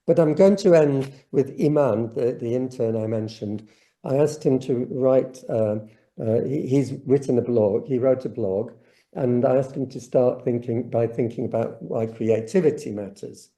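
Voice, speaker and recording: A man delivering a keynote over a video call, his voice deep-toned and mellow. He lectures in an enthusiastic, off-the-cuff way and often trips into restarts. His pitch sits in an ordinary middle range for a man. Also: measured pace. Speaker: keynote speaker. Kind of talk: academic conference presentation. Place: home or office, online video call. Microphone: computer mic on a video call.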